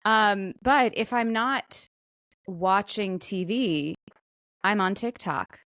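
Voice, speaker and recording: A woman's voice: mid-range voice, slow, a bit bright, no room sound. A woman co-hosting a casual talk show, telling stories conversationally and acting out quotes as she goes. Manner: conversational storytelling with quoted asides. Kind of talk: casual chat, retelling a viral story.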